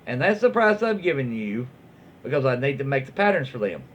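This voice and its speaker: A man's voice: mid-range voice, very animated, a little fast. A man with a Southern US accent voicing a firm, fed-up tradesperson refusing free work in a story reading. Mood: firm, dismissive. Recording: dull lo-fi audio with faint noise.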